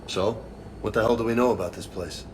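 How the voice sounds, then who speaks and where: An actor playing a gruff, wary male soldier squadmate in a video game. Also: lowish voice, fairly quick, a little mellow, slight background noise, close to an everyday voice.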